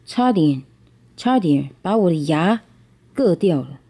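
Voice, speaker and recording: A woman with a soft-edged, very mellow voice reads a storybook to a child. Her pitch is low for a woman. She switches between reading and plain bilingual explanation, often asking small questions to check understanding. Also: lively intonation, slow. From Taiwan. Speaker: parent reading a picture book to child.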